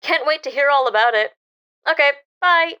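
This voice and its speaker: A woman acts a young female therapy patient with a high voice. The manner is earnest and hesitant, with an anxious, wary streak. It is played naturally, as audio drama. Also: moderate pace, sharp tone, highs cut off. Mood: chatty, relieved. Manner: hesitant, earnest, stammering under pressure.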